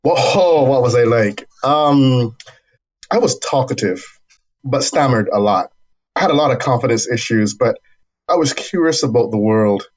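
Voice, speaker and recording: A man guesting on an interview, speaking at a middle pitch. He tells his story in a thoughtful, measured way and sometimes restarts a phrase. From Barbados. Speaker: university dean, guest.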